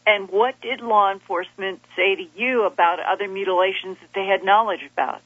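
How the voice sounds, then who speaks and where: An interviewer asking formal, precise questions and summarizing answers in a measured way. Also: mid-range voice, steady tone, no room sound, lo-fi sound.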